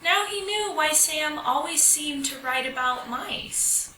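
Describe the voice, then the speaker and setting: Female storybook reader, very bright and lively, roomy distant recording. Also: high voice, slow. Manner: expressive, animated storytelling delivery. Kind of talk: children's picture book read-aloud. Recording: roomy echo, distant mic, faint noise.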